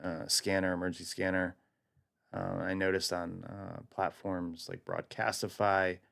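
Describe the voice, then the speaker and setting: A man with a gravelly voice, talking casually and explaining things as a livestream host. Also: low voice, fairly bright tone, no echo, studio quality.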